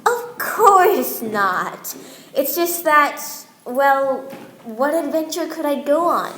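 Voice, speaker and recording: Woman as a high-voiced boastful inventor. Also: very animated, takes it slowly, fairly bright tone, slight background noise, exaggerated voice. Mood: reluctant. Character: boastful Yankee inventor, the king's right-hand man. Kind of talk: amateur radio play acting. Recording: roomy live room, music behind.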